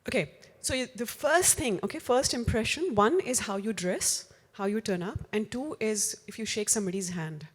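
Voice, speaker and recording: A woman's voice: fairly high pitch, lively intonation, moderate pace, few pauses, breathy edge, big-room sound. A woman with an Indian accent giving a live talk to an audience, direct and coaching, slipping into Hindi phrases. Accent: Indian, with Hindi mixed in. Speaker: workshop speaker. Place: large room before a live audience.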